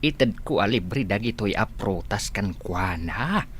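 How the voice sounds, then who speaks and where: A man's voice: on the low side, animated, slow, a bit bright, slight background noise. A man acting a humble, friendly villager in an Ilocano radio drama, with an Ilocano Filipino accent. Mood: earnest.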